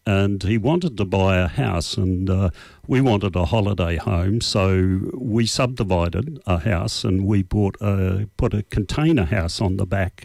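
Older kiwi man, low voice, halting studio interview guest, dry sound. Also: creaky, darkish tone, no echo. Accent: New Zealand. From New Zealand. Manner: unhurried, hesitant with many uh fillers. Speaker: radio guest. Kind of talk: casual interview answers. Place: radio studio.

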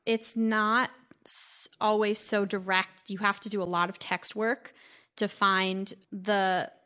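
A woman's voice: mid-range voice, level tone, slow, sharp tone. Earnest teenage girl singer. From Brooklyn, New York. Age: teens. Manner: earnest, youthful, sometimes laughing. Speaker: young classical singer guest. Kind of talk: radio interview.

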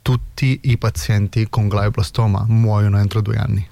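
A middle-aged man with a strong Italian accent speaks as a guest researcher, pausing often on filler sounds. His voice is low and mellow. The recording is close and dry. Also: little pitch movement, creaky, dry recording. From Italy (Sicily). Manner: hesitant, many filler sounds, earnest.

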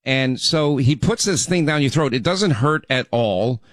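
A man's voice: medium pitch, expressive, a little slow, no echo, clean studio sound. A middle-aged man hosting a talk radio show in a chatty, rambling way, his voice still recovering from laryngitis. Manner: chatty, rambling, frequent restarts. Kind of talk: casual talk radio monologue.

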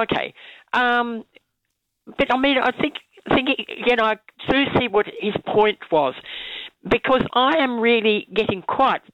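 Croaky New woman calling in. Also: mid-range voice, dry recording, dull audio. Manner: halting, many restarts and fillers, earnest. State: croaky from a cold. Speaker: caller. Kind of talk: talkback radio call. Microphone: phone line.

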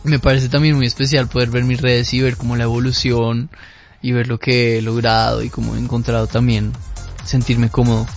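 A young man with a Bogotá accent, a guest musician who speaks softly and hesitantly in a relaxed interview. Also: medium pitch, fairly quick, highs cut off, soft background music. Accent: Bogotá Colombian. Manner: soft-spoken, hesitant, with fillers. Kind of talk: casual interview chat.

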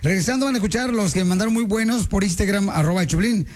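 A man's voice: high-pitched, quick pace. A Mexican man hosting a radio show with playful, exclamatory, slangy banter, talking straight to his listeners. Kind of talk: comedic morning-radio banter. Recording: music playing behind. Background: music.

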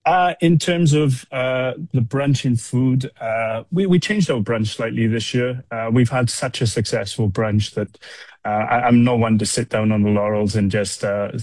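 A man's voice: mid-range voice, a little slow, darkish tone, no room sound. A man from Wales answering casually as a chef in an interview, hesitant and often repeating words as he explains. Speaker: restaurant chef being interviewed.

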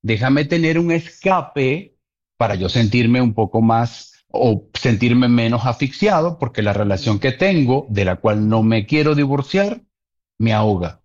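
A man's voice: medium pitch, animated, unhurried, darkish tone, dull audio. A man talking earnestly about relationships in a casual conversation, repeating key points for emphasis.

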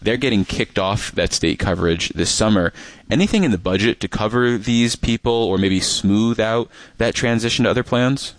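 A man hosting a public radio interview, asking measured questions and explaining terms for listeners. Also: lowish voice, no room sound, low-quality recording. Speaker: radio host.

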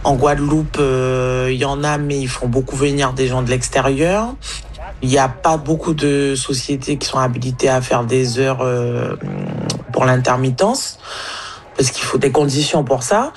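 A woman's voice: a bass voice, moderate pace. Thoughtful woman from French Guiana. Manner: thoughtful, hesitant with fillers. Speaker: comedian. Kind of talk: interview answer.

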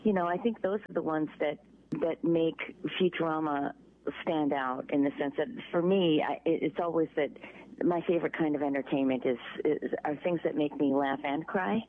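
A middle-aged woman with a Chicago accent, chatting as an interview guest, halting and restarting often. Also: deep voice, slight background noise. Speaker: voice actress guest. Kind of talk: casual interview by phone. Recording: tinny phone line.

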